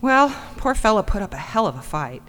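A woman's voice: mid-range voice, sing-song, brisk, a bit bright. A woman playing a wry, blunt, matter-of-fact medical examiner in an audio drama. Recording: long hall reverb, faint hum.

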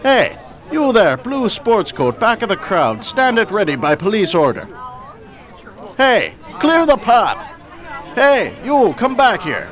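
Radio drama policeman: brash, commanding, wildly animated pitch, crowd behind. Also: slow, heavily acted. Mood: gruff, urgent. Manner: barking, commanding. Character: brash, bossy 1920s city policeman.

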